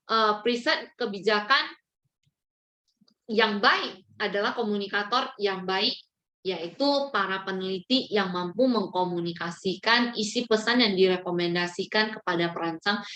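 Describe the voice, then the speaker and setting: A woman's voice: a little high, bright, forceful tone. A woman giving a formal, didactic lecture-style explanation, as if working from notes. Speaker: lecturer presenting on education policy research.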